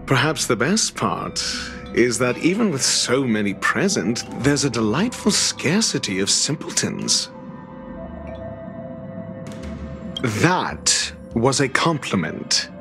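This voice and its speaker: Haughty male game scholar, eloquent, fastidious, lively intonation, middle pitch, dramatic pauses, coolly disdainful. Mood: dry, condescending. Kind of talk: scripted video game character acting. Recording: music behind the voice.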